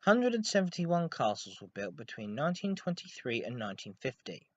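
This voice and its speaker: A man's voice: mid-range voice, very animated, fairly quick, no room sound. A man hosting a hobby podcast, narrating history in an informal, scripted way. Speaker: podcast host. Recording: dull lo-fi home recording.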